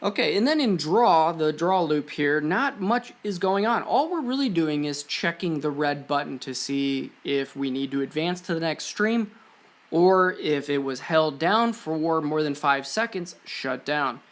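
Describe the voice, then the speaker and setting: A man's voice: on the high side, lively intonation, measured pace. A man presenting an electronics and coding tutorial in an informal, offhand way, explaining things step by step as he walks through code. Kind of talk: casual screen-share code walkthrough.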